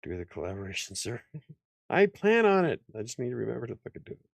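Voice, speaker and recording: A man hosting a casual after-hours chat show, rambling, laughing often and swearing now and then, with a slightly raspy voice. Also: medium pitch, very animated, fast, no room sound, studio quality. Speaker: host.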